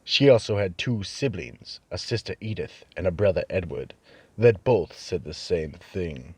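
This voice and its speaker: A man giving ominous, measured narration for a horror radio show. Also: deep voice, lively intonation, darkish tone, slightly rough, no echo.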